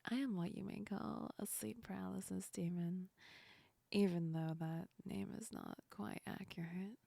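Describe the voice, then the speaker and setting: A woman playing a teasing yet comforting female sleep demon in a whispered ASMR roleplay, breathy and soft, speaking close to the ear. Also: medium pitch, steady tone, unhurried, no room sound. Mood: apologetic, explaining. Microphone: very close ASMR microphone.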